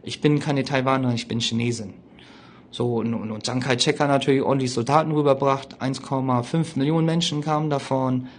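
A man giving an informal spoken history explanation in a colloquial, opinionated way, often halting and hesitating as he searches for words. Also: mid-range voice, steady tone, a little hiss or hum.

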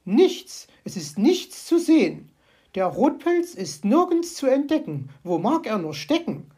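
A woman voicing an excitable, fussy female magpie in a storybook, with shrill, agitated exclamations and a creaky, husky voice. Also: a little slow, exaggerated voice. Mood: indignant. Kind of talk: storybook character voice.

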